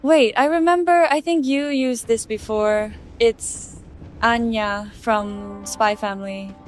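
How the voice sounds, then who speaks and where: A woman speaks slowly in a fairly high voice, chatting casually with friends as they play. There is music in the background. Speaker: friend in a gaming voice chat. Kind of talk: casual chat.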